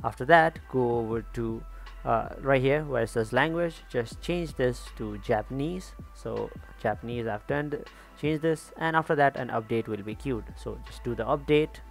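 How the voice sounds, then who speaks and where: A man's voice: mid-range voice, normal speed, gravelly. A man hosting a tutorial, talking casually through instructions step by step with frequent little check-ins. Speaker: tutorial host. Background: background music.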